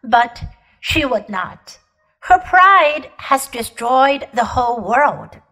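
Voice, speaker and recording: A woman reader voices a cruel, ancient queen who speaks as though the whole world were hers. The storybook voice is high and lilting, rising and falling widely. She delivers every line slowly, as a grand, haughty declamation. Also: very animated, pushed and bright, full character voice, low-quality recording. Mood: proud. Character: proud, ruthless ancient queen, tall and imperious. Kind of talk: audiobook character reading.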